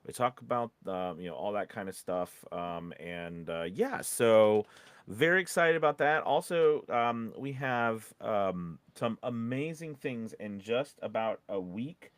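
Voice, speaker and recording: A man's voice: lowish voice, unhurried, no echo. A man co-hosting a casual livestream chat, speaking in an informal, enthusiastic way. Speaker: host.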